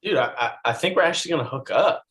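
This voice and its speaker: A man playing a flirty, unbothered slacker bro in a comedy table read, with halting, slangy speech. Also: fairly high pitch, lively intonation, a bit bright. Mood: smug, casual. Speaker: actor in a screenplay table read.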